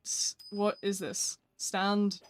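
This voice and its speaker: A gaming streamer chatting casually during a live stream, answering viewer messages in a flat speaking voice. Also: mid-range voice, extremely slow, a little hiss or hum.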